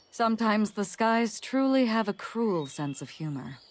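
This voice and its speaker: A woman playing a wistful, courteous female character in a video game dub, with a husky, breathy voice. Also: mid-range voice, sing-song, slow pace, a little hiss or hum. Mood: resigned, wistful.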